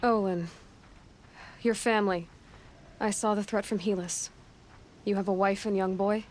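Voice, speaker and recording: A woman's voice: medium pitch, steady tone, a little slow, a little hiss or hum, lightly acted. A young woman acting a determined, compassionate and dryly wry huntress in a video game. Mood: firm, reassuring.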